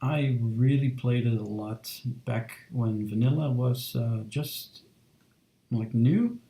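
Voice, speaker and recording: A man in his thirties talks easily to his viewers while sketching, with a dark, mellow voice and a gravelly edge. His chat is loose and full of fillers, and the sound carries the echo of a roomy home studio. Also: mid-range voice. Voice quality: soft, relaxed and unhurried. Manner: chatty, lots of fillers, reads chat. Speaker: streamer drawing fan art. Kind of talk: casual live-stream chat while drawing.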